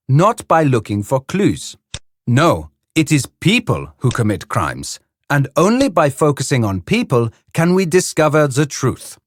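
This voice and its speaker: A man reading the part of a fussy, precise older detective in a polite, formal way. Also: fairly high pitch. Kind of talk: scripted reading for learners.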